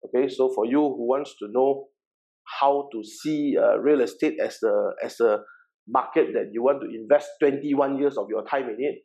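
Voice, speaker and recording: A man's voice: mid-range voice, normal speed, warm tone, poor audio. A man in his forties with a Malaysian accent, giving an informal seminar presentation in a chatty way, repeating words and checking in with "okay". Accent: Malaysian English. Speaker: workshop presenter and real estate agency founder.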